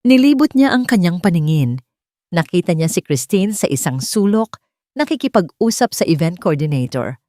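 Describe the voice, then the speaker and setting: A woman's voice: fairly low pitch, sing-song, moderate pace, dark tone, no room sound, studio quality. A woman with a Filipino accent reading a dramatic love story as a scripted narration.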